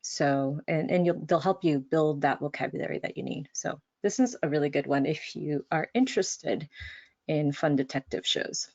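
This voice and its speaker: A woman's voice: sits low, normal speed, a little mellow. Woman teaching a webinar, dull audio. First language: Spanish. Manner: casual, encouraging, many fillers. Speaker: language instructor. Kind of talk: webinar presentation.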